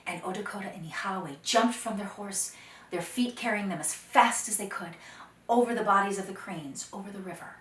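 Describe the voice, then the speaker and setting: A woman telling a folktale to children, dramatic, expressive and deliberate, sometimes dropping to hushed phrases. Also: mid-range voice. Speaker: storyteller. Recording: roomy echo, distant microphone.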